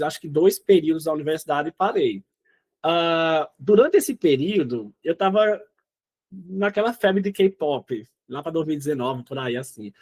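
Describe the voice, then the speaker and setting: Rapaz nordestino de uns vinte e poucos anos, voz aguda, conversa solta de entrevistado. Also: male voice, sits high, a little mellow. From Northeast Brazil. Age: 20s. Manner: chatty, often says "né?" and "entendeu?". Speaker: interview guest. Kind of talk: casual interview chat.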